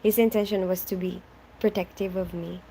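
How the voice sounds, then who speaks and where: A woman's voice: fairly low pitch, fairly even intonation, moderate pace, mellow, slight background noise, rough recording. A young woman who grew up in the Philippines, chatting casually on a live stream, reading out viewers' names and answering them, often laughing.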